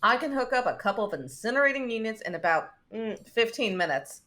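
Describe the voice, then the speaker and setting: A woman playing a gruff, blunt, combative spaceship engineer in a sci-fi script read. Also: fairly high pitch, animated, fairly quick, sharp tone. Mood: defensive.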